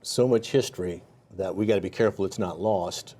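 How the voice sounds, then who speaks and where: Mellow-voiced male TV interview host. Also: deep voice, fairly quick. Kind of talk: unscripted local TV interview.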